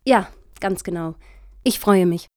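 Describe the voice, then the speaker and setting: An actress as a frank female activist in a satirical radio play, recorded dry. Her voice is mid-pitched. She comes across as keen, agreeable and direct. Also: a little slow, a little mellow, no echo, natural voice.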